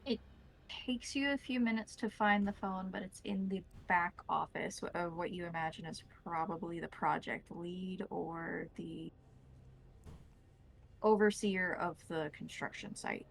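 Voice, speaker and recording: A woman narrating a tabletop roleplaying game as game master, improvising scenes in a measured, descriptive way. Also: lowish voice, steady tone, vocal fry, slight background noise, poor audio.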